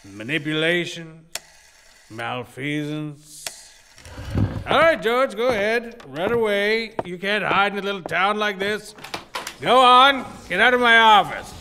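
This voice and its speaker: A man's voice: high-pitched, expressive, noisy background. A man playing a sneering, ruthless old rich banker in a radio play, mocking and drawing out his taunts. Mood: contemptuous, threatening. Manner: mocking, contemptuous, drawn-out taunting.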